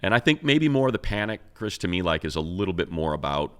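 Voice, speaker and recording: A man's voice: low-pitched, very animated, fast, a bit bright, clean tone. A man hosting a sports talk show, casually analyzing in a conversational, explanatory way and posing rhetorical questions.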